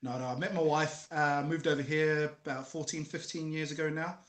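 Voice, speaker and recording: Guest: New Zealand man in his thirties, slightly high voice, roomy call audio. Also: a little fast. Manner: relaxed storytelling, joking asides. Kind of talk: casual interview. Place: small room at home, video call. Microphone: headset earphones, webcam.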